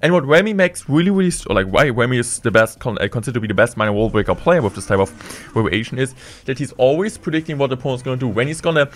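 Young man giving steady gameplay commentary. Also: medium pitch, rapid-fire, a little hiss or hum. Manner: steady play-by-play, excited bursts at big plays. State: slightly sick. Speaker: game streamer. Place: indoor streaming room.

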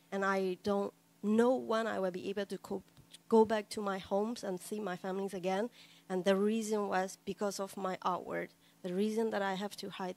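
A woman artist speaking at a roundtable in a gallery, earnest and halting in English that is not her first language. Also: medium pitch. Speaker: panelist artist. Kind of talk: roundtable discussion remarks. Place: gallery room with audience.

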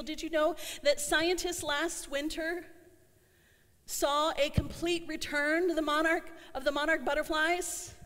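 A middle-aged woman pastor delivering an earnest sermon, slowing down to stress her refrains, recorded in a church sanctuary. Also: sits high, fairly bright tone. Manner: earnest, slows for emphasis on refrains. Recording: long church hall reverb.

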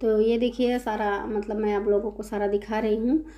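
A woman's voice: medium pitch, flat delivery, normal speed, mellow. A woman narrating a temple visit as a vlog voice-over, chatty with many fillers, her throat a little sore. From India. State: sore throat, occasional cough. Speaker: vlogger. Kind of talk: casual travel vlog voice-over. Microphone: voice-over recorded separately.

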